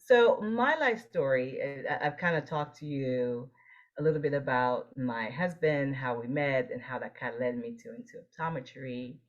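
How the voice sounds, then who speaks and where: A woman's voice: extremely low pitch, moderate pace, lo-fi sound. A woman from Haiti, speaking as a guest in an interview and telling her personal story in an earnest, reflective way. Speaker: guest, optometrist and leadership coach.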